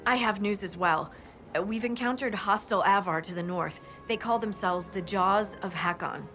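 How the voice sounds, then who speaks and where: A woman's voice: mid-range voice, moderate pace, a bit bright, soft background music, natural voice. Woman playing an earnest scout giving a briefing. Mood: concerned, reporting. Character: earnest, capable female military scout reporting danger. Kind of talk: video game voice acting.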